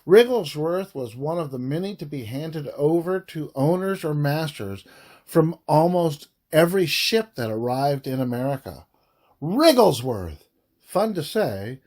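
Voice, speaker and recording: A man narrates written history at a very slow pace in a slightly high voice. He is deliberate and emphatic, breaking sentences into short, halting phrases as he reads aloud. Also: a little mellow. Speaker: audiobook reader, author of the book.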